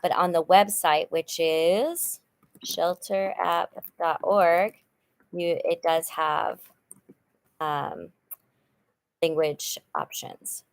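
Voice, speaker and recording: Middle-aged lady chatting on her livestream, kinda low voice, upbeat, lots of ums and restarts. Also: no echo. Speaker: channel host, nonprofit volunteer. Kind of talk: casual livestream chat reading viewer comments.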